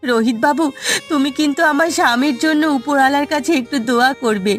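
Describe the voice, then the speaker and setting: A woman's voice: sits high, fast talker, faint music behind, natural voice. A woman voicing an anxious, remorseful village wife in a cartoon, plaintive and melodramatic. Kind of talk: cartoon voice acting.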